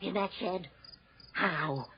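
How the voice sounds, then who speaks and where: An actress playing a nosy, excitable woman neighbor who pesters a policeman with exclaimed questions in a radio drama. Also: on the low side, breathy edge, heavily acted. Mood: shocked, incredulous. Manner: prying, exclaiming, rapid questions. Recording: muffled old radio drama recording.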